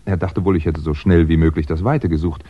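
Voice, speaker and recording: An actor playing a wry, understated fugitive crime writer in a 1960s German radio play. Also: male voice, sits low, steady tone, fairly quick, warm tone, breathy edge. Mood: wry, recounting. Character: fugitive crime writer, dry, weary, polite man on the run. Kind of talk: 1960s German radio play acting and first-person narration. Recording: dull, noisy 1960s radio recording.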